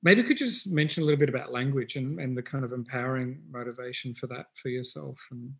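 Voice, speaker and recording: A man moderating a panel who gently asks guests open questions, with some hesitation. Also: mid-range voice, fast talker, vocal fry, lo-fi sound. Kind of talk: casual panel talk.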